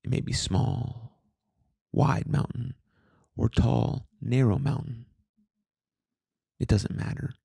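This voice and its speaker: A man guiding a meditation in a calm, soothing, unhurried way, reading slowly with long pauses between short phrases. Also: medium pitch, creaky, husky, dry recording, clean studio sound. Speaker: meditation guide, podcast host. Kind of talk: guided meditation, slow scripted narration.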